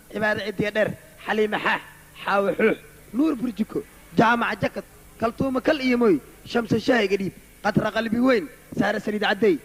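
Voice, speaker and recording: High-voiced man reeling off joke names. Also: fairly bright tone, rough recording. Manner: reeling off silly nicknames. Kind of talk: comic list reading.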